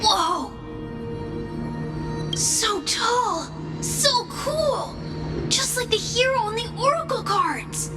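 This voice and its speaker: Young child, eager, wide-eyed, high-pitched, game score behind. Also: female voice, sing-song, drawn-out words, long pauses, heavily acted. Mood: excited. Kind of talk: game cutscene voice acting. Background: game music.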